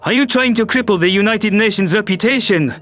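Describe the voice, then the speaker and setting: A man's voice: high voice, brisk, fairly bright tone, faint music behind. A man playing a harried human world leader, tense and exasperated.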